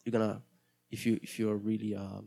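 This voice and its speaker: Gravelly-voiced Brazilian man rambling casually. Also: fairly low pitch, level tone, a little fast, dark tone. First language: Portuguese. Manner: hesitant, rambling, many restarts. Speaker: podcast host. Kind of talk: casual solo podcast monologue.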